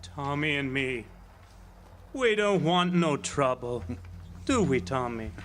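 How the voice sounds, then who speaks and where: An actor playing a timid, simple, anxious peach farmer in a live radio drama, meek and plaintive. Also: male voice, on the high side, expressive, takes it slowly, a bit bright. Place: live theater stage. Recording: noisy live amphitheater stage recording.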